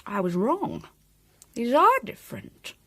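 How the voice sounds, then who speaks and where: High, playful English society wife. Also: female voice, very animated, slow, sharp tone, exaggerated voice. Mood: puzzled, musing. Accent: upper-class English. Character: playful, fussy upper-class English wife. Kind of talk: British period radio drama acting.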